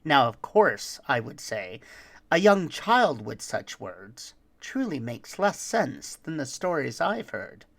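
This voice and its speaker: A performer voicing a boastful, roguish thief who tells a rhyming holiday tale with dramatic, deliberate delivery. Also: medium pitch, sing-song, a bit bright, heavily acted. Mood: sly, wistful. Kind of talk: theatrical rhymed storytelling.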